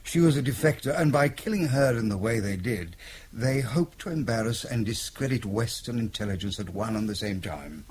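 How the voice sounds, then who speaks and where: Actor as an animated, urbane spymaster. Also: male voice, on the low side, normal speed, natural voice. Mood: coolly explaining. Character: urbane, calculating male British intelligence chief. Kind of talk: audio drama acting. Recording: faint background noise.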